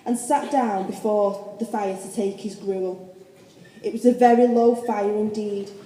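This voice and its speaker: Dark-toned woman narrating in an echoey hall. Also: fairly high pitch, slight background noise. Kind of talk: scripted storybook narration for a radio play. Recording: echoey hall with long reverb.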